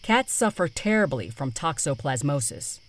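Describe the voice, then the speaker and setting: Woman playing a brisk vet, lowish and animated. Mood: breezy, insistent. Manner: breezy, businesslike. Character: brisk, unflappable woman veterinarian bluffing her way in. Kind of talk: audio drama acting.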